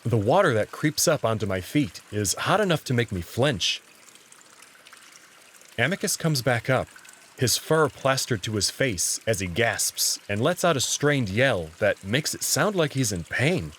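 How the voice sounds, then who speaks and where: A man reading scripted, expressive first-person narration as the narrator of a visual novel. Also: medium pitch, a little slow, a little hiss or hum.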